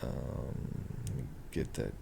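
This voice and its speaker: A man walking through market charts on a screen share, thinking aloud with many ums and a hoarse voice. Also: slow pace, mellow, slight background noise. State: hoarse, clearing his throat. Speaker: market analyst.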